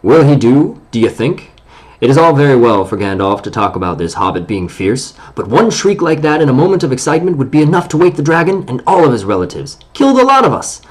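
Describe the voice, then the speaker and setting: A man voicing a gruff, doubtful male dwarf who scorns the hobbit. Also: mid-range voice.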